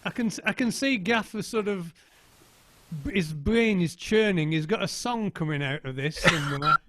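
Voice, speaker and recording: A man casually hosting a video call session in a halting, informal way. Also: high voice, lively intonation, fairly bright tone. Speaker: session host.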